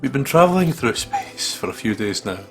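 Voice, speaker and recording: A man's voice: medium pitch, very animated, brisk. A man doing a playful let's-play, reading aloud and joking, with a sweary, silly manner. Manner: jokey, sweary, breaks into silly riffs. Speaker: gaming channel co-host. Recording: music playing behind the voice. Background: game music.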